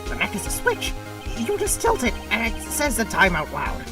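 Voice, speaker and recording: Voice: mid-range voice, wildly swooping pitch, moderate pace. A performer voicing a prissy, nervous male prosecutor in an amateur courtroom game dub, fussy and deferential. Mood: smug, deferential. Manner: fussy, deferential, easily flustered. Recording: distant mic under loud music.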